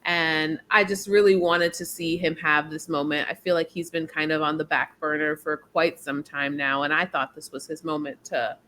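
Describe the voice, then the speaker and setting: A woman co-hosting a wrestling podcast, giving measured, thoughtful opinions with frequent ums. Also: low voice, flat delivery, a bit bright, a little hiss or hum. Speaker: podcast co-host. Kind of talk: casual podcast chat.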